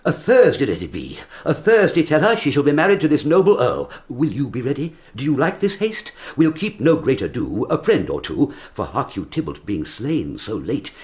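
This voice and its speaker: An actor playing a blustering, impulsive older lord in a radio Shakespeare drama, rambling with abrupt exclamations. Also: male voice, mid-range voice, sing-song, normal speed, few pauses, lightly acted. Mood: brisk, scheming.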